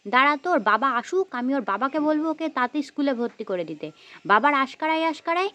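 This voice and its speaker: A high female voice as a woman acts out an exasperated mother in a homemade children's story. She nags and threatens, repeating her complaints about a naughty little daughter. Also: lively intonation, quick pace, sharp tone, no echo, highs cut off. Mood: exasperated, complaining. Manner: nagging, repetitive, threatening. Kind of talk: amateur children's play-acting story.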